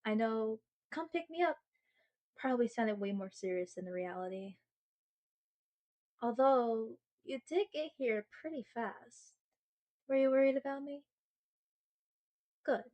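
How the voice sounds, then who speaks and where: A somewhat high-pitched young-love girlfriend character , acted by a woman, speaks straight to her partner in an ASMR audio roleplay. She is sweet and earnest, halting when bashful. She slips into playful, self-mocking seriousness as she chats. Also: lively intonation, lo-fi sound. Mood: tender. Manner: chatty, halting, bashful with dramatic mock seriousness.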